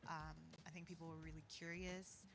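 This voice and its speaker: A woman's voice: low-pitched, level tone, brisk, noisy background. A woman speaking earnestly as a community organizer and guest in a casual podcast conversation, often dropping in "you know" and "like".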